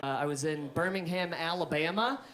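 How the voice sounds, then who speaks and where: A man from Kansas with a high-pitched voice doing a brash, profane stand-up set. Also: brisk, heavy background noise. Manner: brash, profane, animated storytelling. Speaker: stand-up comedian. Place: large comedy venue before a live audience. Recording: echoey live theater, crowd laughter. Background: audience laughter.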